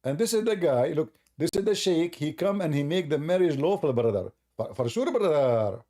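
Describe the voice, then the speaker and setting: Mellow-voiced man rambling to his brother. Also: on the high side, animated, normal speed. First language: Arabic. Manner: rambling, keeps calling the listener brother; loose non-native grammar, chuckles often. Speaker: online commentator. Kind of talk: casual commentary over a video.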